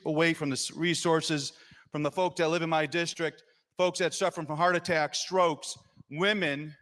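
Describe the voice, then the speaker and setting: A man who is a state legislator, giving an impassioned floor speech to explain his vote, rising to loud emphasis. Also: fairly high pitch. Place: legislative chamber. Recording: long reverb in a legislative chamber.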